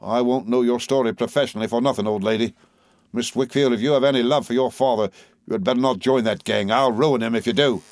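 A man's voice: medium pitch, fast, a little mellow, no room sound, studio quality, pitched above the performer's own voice, natural voice. A man voicing a spiteful, scheming clerk in an audiobook, sneering and threatening with false familiarity.

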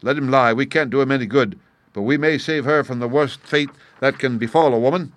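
A man voicing a desperate bearded young man who shouts in raised, urgent bursts. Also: mid-range voice, a little fast, close to an everyday voice.

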